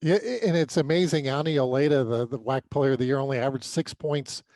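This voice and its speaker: A man's voice: mid-range voice, fast talker, a little mellow, dry recording. A man with an American accent talking through sports results in a casual way as a podcast co-host. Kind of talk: casual sports recap commentary.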